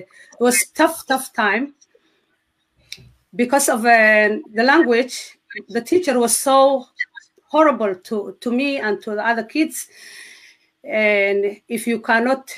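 A woman with a Somali accent tells her life story as a guest in an interview. Her English is not her first language, so she pauses and searches for words, but she keeps going in an earnest, steady way. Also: fairly high pitch, poor audio. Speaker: guest sharing testimony. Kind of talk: personal testimony in an interview.

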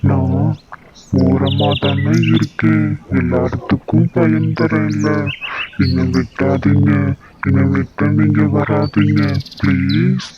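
A man voicing a gentle, frightened mango tree who begs not to be cut down in a cartoon. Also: fairly high pitch, little pitch movement, slow pace, dull audio, loud music behind the voice. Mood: pleading, frightened. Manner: begging, repeating pleas. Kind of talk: cartoon voice acting.

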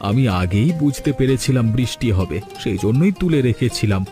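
A man's voice: medium pitch, expressive, a little fast, music in the background, natural voice. A man voicing an earnest, hard-working village father in a cartoon fairy tale. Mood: concerned, comforting.

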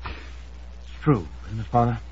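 An actor playing a nervous, cornered son who stammers and gets defensive in an old radio detective drama. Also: male voice, mid-range voice, slow, a little mellow, breathy edge. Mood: defeated. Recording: muffled old radio recording.

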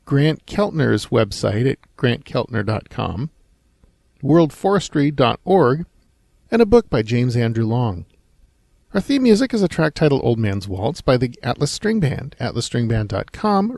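A man from Oregon with an American accent and a gravelly voice, hosting a podcast and reading scripted history narration. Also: medium pitch, a little mellow, dry recording, studio quality. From Oregon, United States.

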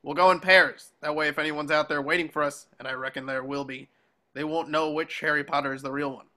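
A man's voice: fairly high pitch, fairly even intonation, fairly quick, bright, forceful tone. Man acting out a piercing, impatient old wizard commander. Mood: brusque, commanding. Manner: barking, commanding, curt. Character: gruff, impatient old male wizard war veteran leader. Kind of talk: table read acting. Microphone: video call.

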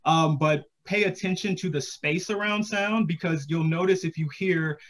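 A man's voice: fairly high pitch, normal speed, fairly bright tone. A man in his thirties explaining things casually as a panelist on a video call, in a friendly advising way. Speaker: panelist, audio drama podcaster. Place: room at home, video call. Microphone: headset on a video call.

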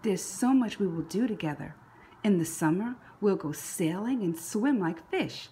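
A woman reading a children's story aloud expressively, with a breathy, husky voice. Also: mid-range voice, lively intonation, a little slow, mellow, slight background noise. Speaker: picture book read-aloud narrator.

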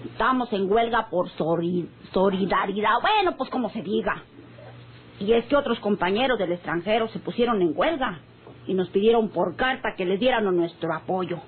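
A woman's voice: medium pitch, lively intonation, moderate pace, lightly acted. Actress as chatty, folksy Mexican working woman. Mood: friendly, chatty. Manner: folksy, stumbles on big words. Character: chatty, good-natured country factory worker, unschooled. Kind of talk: old radio drama acting. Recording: noisy, tinny old radio recording.

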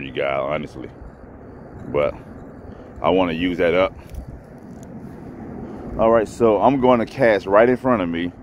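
A man talking casually to viewers while fishing from a pond bank, filmed on a head-mounted camera. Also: low voice. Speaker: fishing vlogger. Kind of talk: casual outdoor fishing commentary. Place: grassy pond bank outdoors. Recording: faint outdoor background noise.

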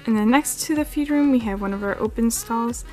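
A woman's voice: on the high side, brisk, mellow, low-quality recording. Woman hosting a casual tour, lots of likes and ums, close handheld cam, faint music under. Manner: chatty, filler-heavy, frequent "like" and "um". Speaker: model horse channel host. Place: carpeted room indoors. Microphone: handheld camera filming close.

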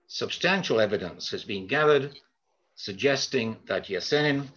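An older man lectures in a formal, measured way, with hesitant pauses. His voice is husky but bright and forceful. It comes over a slightly dull video call. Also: mid-range voice, animated. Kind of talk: prepared lecture.

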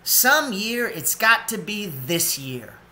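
Young otter boy for a storybook man reading: determined, wistful, slow-paced, forward bright tone. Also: high voice, sing-song, slight background noise, pitched above the performer's own voice, full character voice.

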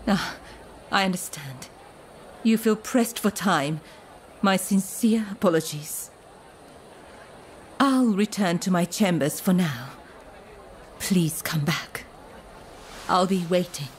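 An actress playing a refined, troubled Japanese woman in a video game, speaking formally and hesitantly with a soft delivery. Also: on the low side, slow pace, pauses often, a little hiss or hum. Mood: polite, composed. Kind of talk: dramatic video game acting. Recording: music behind the voice.

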